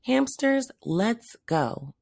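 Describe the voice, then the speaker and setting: Woman as a plucky little hamster girl, lively pitch. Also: drawn-out words, vocal fry, heavily acted. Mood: eager. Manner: bold, eager. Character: plucky, confident small female hamster, team leader.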